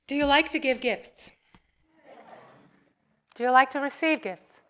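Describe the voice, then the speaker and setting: A woman giving a conversational sermon to a congregation, speaking as a preacher in short, paused phrases. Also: high voice, a bit bright.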